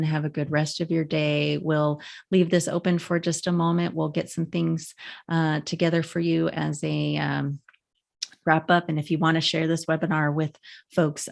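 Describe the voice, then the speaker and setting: A woman's voice: low voice, creaky. A woman hosting a webinar over a video call, giving chatty closing remarks full of fillers. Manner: warm-hearted, chatty, many fillers. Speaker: webinar host.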